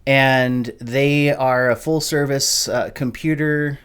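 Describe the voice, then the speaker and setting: A man hosts a relaxed interview, his voice sitting at an ordinary pitch. He asks long, loose questions and stumbles through them with restarts and uhs. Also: slow, clean tone. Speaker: podcast host, interviewer. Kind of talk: casual interview.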